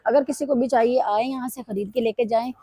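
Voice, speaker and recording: A woman vlogger, hoarse from a sore throat, walking through market stalls and pitching bargains to her viewers. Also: fairly even intonation, a little fast, mellow, no room sound. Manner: repeatedly addresses viewers, upbeat sales pitch. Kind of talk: casual walkthrough commentary. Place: busy weekly market stalls.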